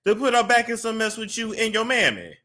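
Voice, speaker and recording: High, bright-voiced man mocking gossip clips. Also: sing-song, fairly quick. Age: young adult. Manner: mocking, laughing asides. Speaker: commentary host. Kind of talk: gossip commentary over clips.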